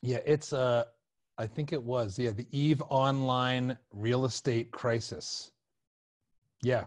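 A man's voice: mid-range voice, fairly even intonation, slow, no room sound. A middle-aged man chatting casually as a podcast host, speaking close to the microphone. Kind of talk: casual conversational podcast interview. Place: small room with couch, indoors. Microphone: close podcast microphone on boom, headset.

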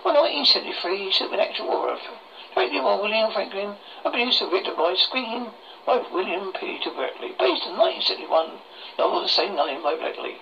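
A horror host reading a scripted story aloud in a halting way, stumbling over words, on a muffled lo-fi recording. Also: mid-range voice, animated, vocal fry. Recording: muffled lo-fi recording with faint noise.

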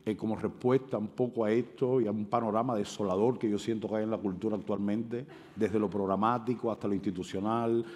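Older Cuban man, dark timbre, headset mic, passionate halting panel talk, long reverb. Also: on the low side. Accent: Cuban Spanish. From Cuba. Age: 60s. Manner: animated, gestures widely, many hesitations. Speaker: panel speaker. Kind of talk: impassioned panel debate. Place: stage of a large auditorium.

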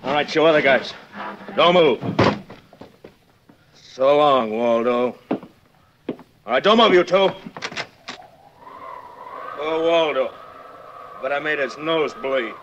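A man's voice: a little high, slow, long pauses. An actor playing a menacing, taunting drunken gunman in a 1940s radio drama. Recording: muffled 1940s radio, faint noise.

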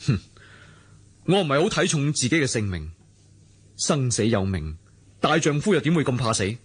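A man's voice: mid-range voice, very animated, moderate pace, breathy edge. An actor playing a proud, free-spirited young swordsman in a radio drama, earnest and dramatic, with a Hong Kong Cantonese accent. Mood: defiant, resolute. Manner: earnest, dramatic, musing aloud.

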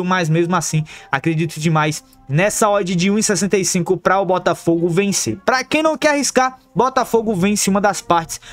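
A Brazilian-accented man with a notably high voice runs through football bets over a screen recording. He talks in a quick, chummy way, calling his viewers "family" and "the crew". Soft music hums quietly behind him. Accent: Brazilian Portuguese. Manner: upbeat, chummy, calls viewers "rapaziada" and "família". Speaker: football betting tipster.

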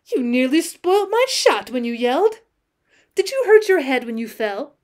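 A woman voicing a proud young frontier woman in a storybook, speaking in a deliberate manner. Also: high-pitched, very animated. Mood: saccharine teasing. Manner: deliberate, saccharine. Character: proud young frontier woman, teasing, sharp, later softened. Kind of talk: acted storybook dialogue.

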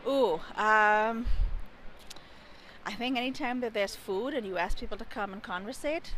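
A woman's voice: fairly high pitch, a little slow, a bit bright, slight background noise, low-quality recording. A woman in her thirties, from India and raised in Dubai, answering interview questions outdoors into a handheld mic, thoughtful and a little hesitant as she searches for words. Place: outdoors beside a building wall. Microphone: handheld mic held close.

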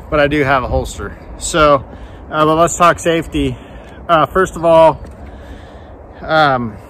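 A man's voice: mid-range voice, a bit bright, a little hiss or hum. An older man in a casual outdoor tool demo, talking in a friendly, rambling way with repeated words, recorded on a camera mic in an open field. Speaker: vlogger reviewing a tool.

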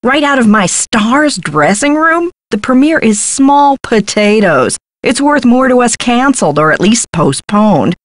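A woman's voice: a little high, big pitch swings, a little slow, hardly any pauses, no echo. A woman voicing a brash, scheming Hollywood talent agent in a video game, glib and condescending, with slangy talk. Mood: scheming, enthusiastic.

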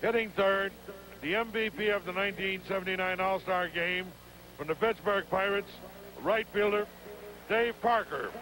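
A man announcing player introductions over a stadium public address system, speaking slowly with long pauses between names, recorded in an outdoor ballpark. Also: high voice, rough recording. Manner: slow, deliberate, drawn-out pauses between names. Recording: stadium PA with loud crowd noise, 1980 broadcast. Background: loud crowd.

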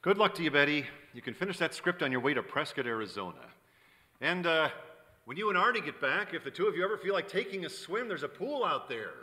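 A cynical Hollywood screenwriter in a noir radio play on stage. His delivery is sardonic and bitter, with lively swings of pitch. The hall rings around his words. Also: quick pace. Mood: cold dismissal. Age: middle-aged. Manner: sardonic, hard-edged. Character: cynical, bitter kept younger man, screenwriter. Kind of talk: old-time radio drama acting, noir narration. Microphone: vintage-style stand microphone. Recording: hall reverb, live stage performance.

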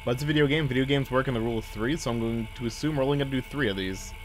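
A man narrates his video game playthrough in a casual way, thinking aloud and answering the characters. His voice sits at a medium pitch, with clearly audible game ambience behind it. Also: racing, slight background noise. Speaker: gaming video host.

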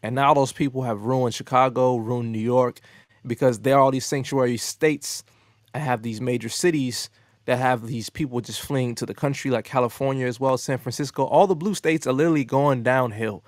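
A man's voice: medium pitch, normal speed, no room sound. A young man hosting his own channel, reacting to street interview clips with mocking, exasperated commentary from a bedroom studio. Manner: mocking, exasperated, animated asides. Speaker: host. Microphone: studio mic, headphones on.

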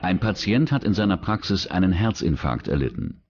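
Low-pitched male documentary narrator. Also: sing-song, moderate pace, vocal fry, darkish tone, husky, dry recording, lo-fi sound. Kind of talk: scripted true-crime documentary narration. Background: background music.

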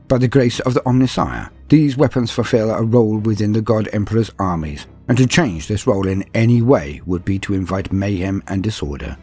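A man reading scripted fictional lore as a narrator, with a measured, formal and dramatic delivery. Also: fairly low pitch, darkish tone, slightly rough. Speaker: narrator and host of a science fiction lore guide. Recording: clean voice-over over faint music. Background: faint background music.

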